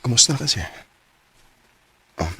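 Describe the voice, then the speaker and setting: A young man voicing a hesitant, regretful lover who narrates his own story in a radio drama. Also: measured pace. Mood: wistful. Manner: soft, hesitant, reflective. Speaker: narrator and lead.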